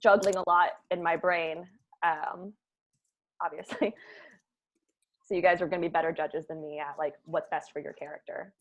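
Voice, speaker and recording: A woman's voice: lowish voice, fairly even intonation, moderate pace, pauses often. A woman speaking casually and jokingly as a writer leading her cast's table read over a video call. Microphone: video call microphone.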